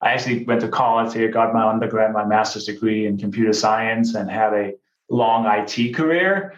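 A man's voice: lowish voice, moderate pace. Upbeat man, roomy lo-fi sound. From Denmark. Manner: upbeat, conversational, says "right?" and "you know" often. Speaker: guest, real estate investor. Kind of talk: casual interview talk.

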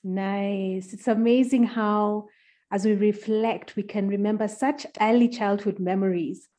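A woman's voice: mid-range voice, steady tone, a little slow, mellow. A woman hosting a podcast, reading introductions and putting questions to a guest. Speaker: podcast host. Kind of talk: scripted podcast introduction and interviewing.

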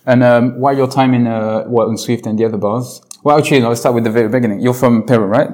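Male podcast-style interviewer, medium pitch, informal chatty questioning with loose, hesitant phrasing. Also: fairly even intonation, fast talker, darkish tone. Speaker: interviewer, host. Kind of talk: casual chat interview.

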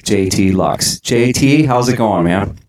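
A man hosting a casual interview, chatty and relaxed, with frequent ums and restarts. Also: fairly low pitch, unhurried. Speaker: host. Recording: echoey room.

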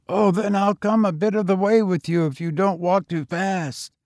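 A man voicing a frail, husky teenage boy tramp who talks eagerly and fatalistically. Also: sits high, fairly even intonation, normal speed, darkish tone, no room sound, clean studio sound, pitched above the performer's own voice, close to an everyday voice. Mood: pleading.

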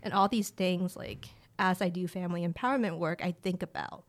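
A woman in her late twenties, raised in rural China with a village tongue as her first language, talks as an interview guest in a relaxed, chatty way full of "like" fillers. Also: lowish voice, a little fast. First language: a Chinese village language. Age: late 20s. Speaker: guest, director of family empowerment. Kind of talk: casual interview conversation.

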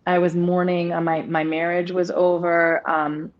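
A woman in her thirties with a deep voice, speaking openly and at length as an interview guest, with many restarts. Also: little pitch movement, measured pace. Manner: open, rambling, restarts often. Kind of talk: candid personal conversation. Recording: dull lo-fi audio.

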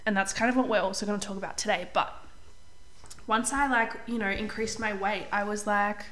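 Chatty young woman, slightly hoarse. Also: medium pitch, fairly even intonation, brisk, a bit bright, slight background noise. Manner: chatty, upbeat, says "like" often, clears throat. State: slightly hoarse, getting over a cold. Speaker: podcast host and life coach. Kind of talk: casual solo podcast chat.

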